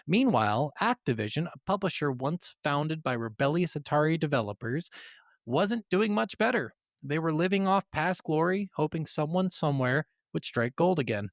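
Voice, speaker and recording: A man's voice: a little high, expressive, moderate pace, no echo. A man hosting a gaming podcast in an informal, profanity-friendly, conversational way, recorded close up. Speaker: podcast host. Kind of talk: casual gaming podcast chat with some scripted narration.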